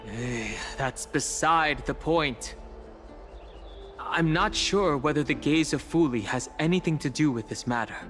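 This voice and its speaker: A man playing a serious, level-headed young adventurer in a fantasy game, reserved, earnest and quietly caring as he talks. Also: on the high side, expressive, faint music behind. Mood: earnest, reassuring. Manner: measured, earnest, reserved, with sighs. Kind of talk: scripted fantasy game dialogue.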